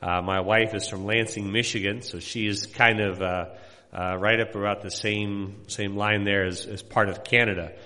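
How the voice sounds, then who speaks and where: Middle-aged preacher guy from indiana, lowish voice, lots of uhs, rambly and folksy, big echoey church hall. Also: steady tone, bright, forceful tone. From Indiana, USA. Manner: folksy, rambling, many "uh" pauses. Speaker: missionary pastor. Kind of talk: sermon introduction to a congregation.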